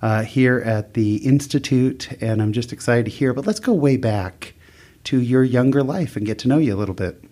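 A man's voice: mid-range voice, moderate pace, dark tone, dry recording. Male podcast host, effusive and upbeat, dry close-miked sound. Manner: enthusiastic, effusive praise. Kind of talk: podcast intro and interview.

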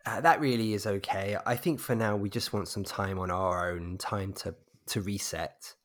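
A man's voice: fairly low pitch, normal speed, natural voice. A man playing an anxious, politely evasive bereaved husband in an audio drama, speaking hesitantly. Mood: polite, guarded.

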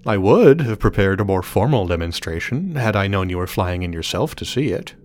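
A man's voice: deep voice, expressive, fairly quick, darkish tone, slight background noise. A man playing a reluctant robot craftsman in an audio drama, uneasy but stiffly polite and hesitant with his superiors. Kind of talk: scripted audio drama acting.

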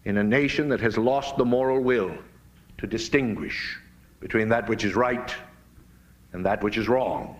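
An American man preaching in a dramatic, deliberate oratorical style, with emphatic swells, long pauses and hushed phrase endings. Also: fairly low pitch. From United States. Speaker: pastor, guest speaker. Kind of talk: fiery sermon-like political speech to a live audience.

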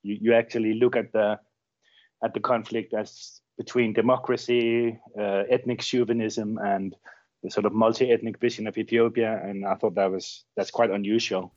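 A man's voice: lowish voice, level tone, moderate pace, a little mellow, dry recording. Danish journalist man, dull dry sound. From Denmark. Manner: thoughtful, repeats words as he searches for phrasing. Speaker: journalist guest. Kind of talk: interview conversation.

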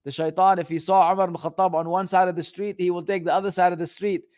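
A man's voice: sits high, level tone, darkish tone. A man giving an Islamic religious lesson as a teacher, moving between Arabic text and English translation. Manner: halting English delivery, rapid fluent Arabic recitation.

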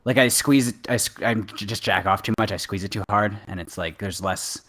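A man co-hosting a podcast, chatting casually and jokingly in a halting, rambling, informal way. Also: on the low side, a bit bright. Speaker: podcast co-host.

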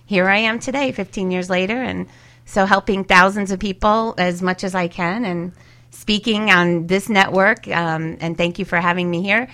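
A woman's voice: medium pitch, fairly even intonation, moderate pace, bright, forceful tone. A woman speaking conversationally as a career coach being interviewed.

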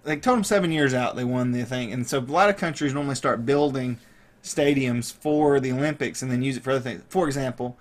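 A man in his thirties hosting a casual podcast chat, animated and quick to laugh as he tells sports trivia. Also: medium pitch. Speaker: podcast host.

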